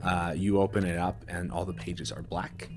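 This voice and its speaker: A man casually narrating a tabletop game as game master, speaking in a halting, conversational way. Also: sits low, little pitch movement, noisy background.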